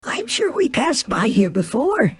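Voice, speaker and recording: A woman's voice: high voice, huge pitch swings, measured pace, pitched above the performer's own voice, full character voice. A woman voicing a small, anxious male piglet in a children's storybook, timid and worried. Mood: uneasy.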